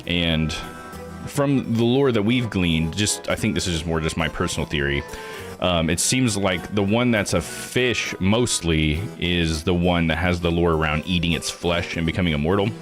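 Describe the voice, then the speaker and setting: A man with a low voice gives casual commentary on a game playthrough as a streamer. He rambles in a chatty, informal way, with music playing clearly behind him. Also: moderate pace. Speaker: live streamer and host.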